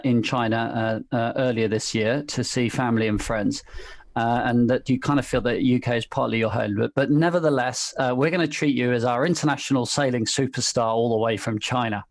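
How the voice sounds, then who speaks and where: A middle-aged man hosting an interview, friendly and self-deprecating, with frequent restarts. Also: mid-range voice. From United Kingdom. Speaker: interviewer, host. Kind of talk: casual interview over video call.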